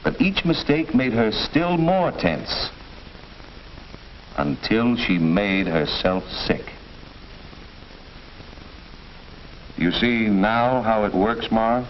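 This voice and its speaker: An American man playing a kindly, patient family doctor in a 1940s instructional film, lecturing in a measured, deliberate way. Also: fairly low pitch, animated. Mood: grave, explaining. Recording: muffled, hissy 1940s film soundtrack.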